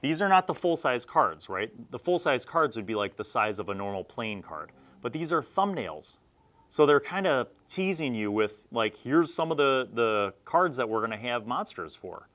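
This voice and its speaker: A man's voice: mid-range voice, very animated, normal speed, fairly bright tone. A middle-aged man talking casually and conversationally as the host of a review video, rambling a little as he comments. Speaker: host of a video series reviewing old roleplaying magazines.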